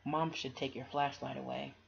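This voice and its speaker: A woman's voice: extremely low pitch, fairly even intonation, normal speed, vocal fry, full character voice. A woman voicing a blunt, teasing young schoolboy brother from a children's storybook. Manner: blunt, exclaiming. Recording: dull, noisy home recording.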